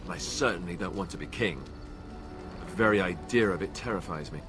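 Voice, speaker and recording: A man's voice: sits low, fairly quick, a bit bright. An actor playing an earnest, self-deprecating young male warrior in a video game, with a wry, hesitant manner. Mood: troubled, confiding. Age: young adult. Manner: wry, sarcastic, awkwardly hesitant. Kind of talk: video game dialogue acting. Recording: music behind game dialogue.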